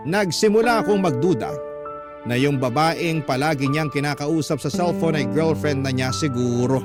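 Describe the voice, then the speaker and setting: A man narrating a scripted radio drama letter in the first person, measured and sentimental. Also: fairly high pitch. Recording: loud music bed under the voice. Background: prominent music.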